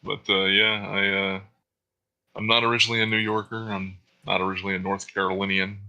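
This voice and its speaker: A man from Florida telling a story in a casual chat. His voice is gravelly with a lot of fry and has a sharp, tense brightness. He talks in a loose, laid-back way and swears freely. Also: on the low side, flat delivery, quick pace, highs cut off. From Florida, USA. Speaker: co-host.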